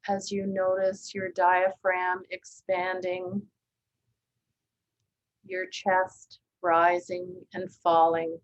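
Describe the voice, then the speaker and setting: A woman leading a guided body scan meditation, speaking gently and calmly with long, unhurried pauses. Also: on the low side, lo-fi sound. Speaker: meditation teacher.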